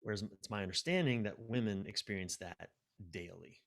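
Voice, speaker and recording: A man speaking as a coach and guest in a podcast conversation, explaining ideas reflectively and haltingly, repeating key words for emphasis. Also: lowish voice, vocal fry, a bit bright.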